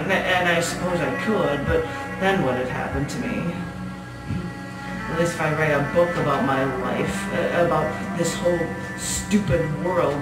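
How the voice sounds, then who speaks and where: A woman performing a live stage monologue as a restless, bitter imprisoned woman, rambling and defensive in a halting, conversational way. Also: low-pitched, natural voice. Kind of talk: dramatic monologue read on stage. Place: small cabaret venue, live show. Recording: distant echoey live venue, loud music behind. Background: loud music.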